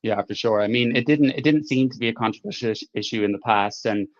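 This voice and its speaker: A male host asking long, measured questions in a live interview. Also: medium pitch. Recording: dull lo-fi audio.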